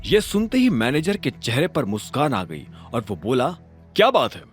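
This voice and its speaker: A man's voice: mid-range voice, huge pitch swings, normal speed. A man narrating a scripted audio drama in a dramatic storytelling style. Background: background music.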